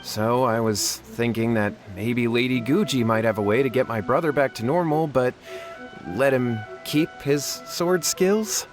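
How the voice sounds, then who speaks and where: A man's voice: medium pitch, measured pace, natural voice. A man voicing a nervous, cowardly, scheming young brother in a video game, flustered and pleading. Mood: anxious confessing. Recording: music behind the voice.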